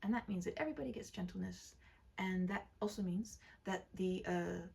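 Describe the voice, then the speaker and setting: A woman hosting a read-aloud, chatting casually in a gentle, rambling, expressive way. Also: medium pitch, a little fast, vocal fry, husky. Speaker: storyteller and channel host. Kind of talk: casual chatty commentary and story narration.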